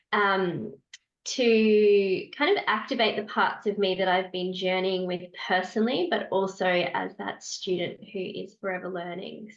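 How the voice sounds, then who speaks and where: A woman who runs a small business and teaches yoga, introducing herself earnestly to a group, pausing often to find her words. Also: medium pitch, fairly bright tone, lo-fi sound. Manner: earnest, searching, many pauses and fillers.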